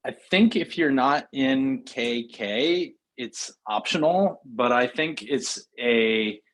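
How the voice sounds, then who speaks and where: A man's voice: mid-range voice, slow pace. A man hosting a work meeting on a video call, teasing in a playful, mock-formal way. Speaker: meeting host.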